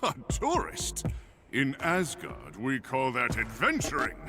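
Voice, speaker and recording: An actor playing a good-natured, hearty adventurer hero in a video game, speaking rather formally. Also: male voice, mid-range voice, huge pitch swings, takes it slowly, exaggerated voice. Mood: amused. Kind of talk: cinematic video game acting. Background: music.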